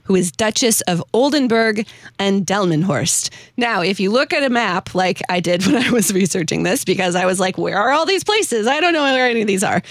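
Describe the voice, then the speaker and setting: A woman's voice: medium pitch, dry recording, studio quality. A woman hosting a podcast, telling a researched story in a chatty, enthusiastic way with self-deprecating asides. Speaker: podcast host. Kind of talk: casual, researched storytelling to co-hosts.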